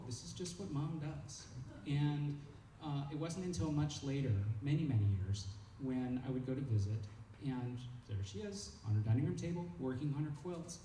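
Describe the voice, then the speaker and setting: A man's voice: medium pitch, mellow. A man from California, reminiscing informally and hesitantly, searching for his words. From California, United States. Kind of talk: informal reminiscing, answering questions. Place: echoey meeting room. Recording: echoey, far mic, noisy room.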